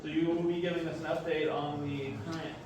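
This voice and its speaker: A man's voice: a little high, level tone, quick pace. A man hosting a meeting, casually introducing guest speakers to an audience. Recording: echoey room, far mic, noisy.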